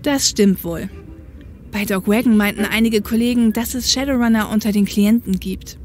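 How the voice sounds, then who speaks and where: A woman's voice: medium pitch, moderate pace, a little mellow, faint music behind, lightly acted. A woman playing a modest, down-to-earth young policewoman in a German fan audio drama, a little awkward in manner. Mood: thoughtful.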